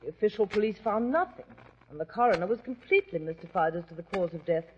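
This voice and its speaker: A woman's voice: mid-range voice, lively intonation, fast talker, lightly acted. An actress playing an anxious, polite young woman in a 1940s radio drama, with an earnest, formal manner. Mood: grave, anxious. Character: anxious, polite young Englishwoman seeking a detective's help. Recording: dull, noisy old radio recording.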